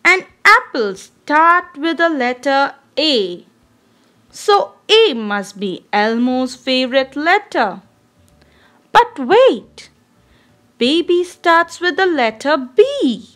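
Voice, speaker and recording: A woman reading a children's storybook aloud in an expressive, deliberate, child-directed way. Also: high-pitched. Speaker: storybook reader.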